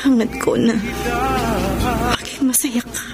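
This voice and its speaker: An actress playing a young woman torn in love, sorrowful yet resolute, speaking earnestly and haltingly in a radio drama. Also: a little high, steady tone. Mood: sad, firm. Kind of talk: Tagalog radio drama acting. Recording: dramatic music behind the voice.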